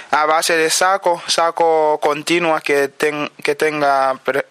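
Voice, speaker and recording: A man in his twenties from Mali, answering interview questions haltingly, with many restarts and fillers. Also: on the high side, a bit bright, smooth, no room sound. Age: 20s. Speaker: summer camp participant.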